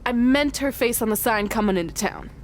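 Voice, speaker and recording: A woman's voice: on the high side, brisk, a little hiss or hum, close to an everyday voice. An actress playing a hot-tempered, determined young woman wrestler in a video game, with a blunt manner. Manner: blunt, combative. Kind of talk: video game cutscene acting.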